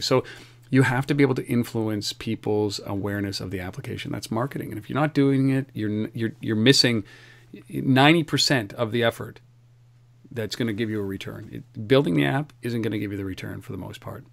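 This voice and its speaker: A man hosting a podcast in casual chat, energetic, often repeating words as he starts a thought. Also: lowish voice, vocal fry. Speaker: podcast host.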